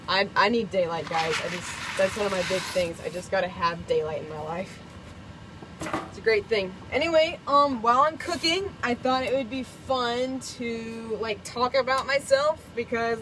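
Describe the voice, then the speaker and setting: A woman's voice: mid-range voice, noisy background. A young woman from Kentucky, chatting casually while cooking in her camper. She is bubbly and giggly and fills her talk with "like" and "um". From Kentucky, USA. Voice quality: bubbly, giggly, lots of fillers. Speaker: vlogger. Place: camper kitchen.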